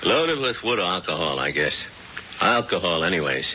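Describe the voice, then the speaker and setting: An actor playing a blunt, businesslike police lieutenant in a 1970s radio drama. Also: male voice, low voice, very animated, normal speed, pushed and bright, a little hiss or hum, heavily acted. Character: tough male police lieutenant. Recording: dull old radio broadcast.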